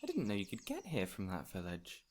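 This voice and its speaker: A man playing a peculiar, eager fey man in an audio roleplay, courteous and theatrical, with flowery, excitable phrasing. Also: on the low side, a little fast, slight background noise. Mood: whimsical, curious. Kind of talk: immersive audio roleplay addressed to the listener.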